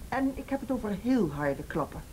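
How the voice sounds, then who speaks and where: An actress in a radio play playing a stern, unflinching police interrogator, blunt, deliberate and accusing. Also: female voice, medium pitch, very animated, darkish tone, close to an everyday voice. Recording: faintly noisy radio drama recording.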